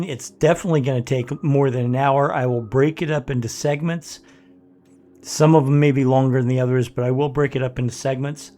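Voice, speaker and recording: An older man hosting a let's play from his home office, congested and rough-voiced, rambling with many pauses and fillers. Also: a little high, a little mellow. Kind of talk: casual gameplay commentary.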